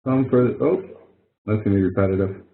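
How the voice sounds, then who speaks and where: Low-voiced man teaching over a tinny webinar line. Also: fairly quick, creaky. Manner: casual, explanatory, thinks aloud while demonstrating. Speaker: instructor. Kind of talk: webinar walkthrough. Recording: phone-like narrow audio from a webinar.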